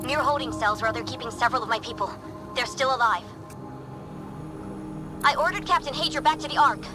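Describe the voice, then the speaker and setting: A woman's voice: sits high, a little fast, music in the background, lightly acted. A woman playing an earnest, dignified alien expedition leader in a video game, formal and composed. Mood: grave resignation. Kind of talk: video game cutscene acting.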